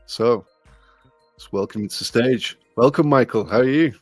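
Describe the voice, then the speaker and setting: A man hosting a podcast, talking in a friendly, easygoing way with frequent repeats and fillers. His mid-pitched voice sounds close and dry, with faint music underneath. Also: normal speed, darkish tone, dry recording. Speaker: podcast host. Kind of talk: casual podcast interview.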